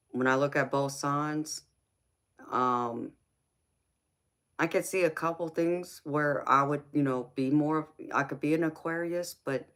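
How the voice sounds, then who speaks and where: A woman's voice: extremely low pitch, a bit bright. A woman in her forties, a tarot reader chatting casually with viewers on a live stream and answering their comments by name. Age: 40s.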